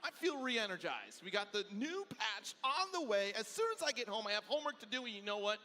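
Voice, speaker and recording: A man's voice: high voice, sing-song, quick pace, bright, forceful tone. A man hosting live esports commentary in an enthusiastic, effusive way. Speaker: esports commentator and desk host. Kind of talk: live broadcast commentary banter. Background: faint music.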